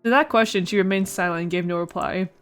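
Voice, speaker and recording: Woman doing a casual visual novel playthrough, jokey asides, trips on words, soft music under it. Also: mid-range voice, fast, clean tone. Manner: playful, stumbles over words and comments on them. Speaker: let's play host.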